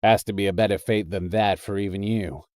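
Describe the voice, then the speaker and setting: A man voicing a tough, thickset American drifter who narrates his own purgatory story in a deliberate, hard-boiled, colourful way. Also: fairly low pitch, steady tone, a little mellow, no echo, clean studio sound, close to an everyday voice. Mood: firm, gruff. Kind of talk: dramatic first-person short story narration.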